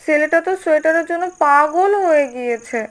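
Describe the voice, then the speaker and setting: Woman as a high-voiced, soothing cartoon mother. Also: fairly quick, natural voice. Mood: concerned. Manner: reassuring, coaxing. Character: patient, soothing mother of a young boy. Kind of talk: cartoon voice acting. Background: background music.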